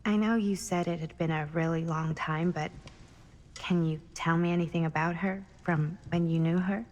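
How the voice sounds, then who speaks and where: An actress playing a grieving, searching young American woman in a video game cutscene, with a husky, creaky, breathy voice and halting delivery. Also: lowish voice, steady tone, darkish tone, dull audio, natural voice. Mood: grieving, vulnerable. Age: young adult. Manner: hesitant, halting speech. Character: grieving, searching young woman who misses her mother.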